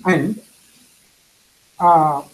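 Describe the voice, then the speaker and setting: A man's voice: fairly high pitch, fairly even intonation, very slow, soft background music, poor audio. A man whose first language is Tamil, lecturing earnestly to an audience and repeating phrases for emphasis. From India. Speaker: speaker. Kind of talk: lecture answering questions.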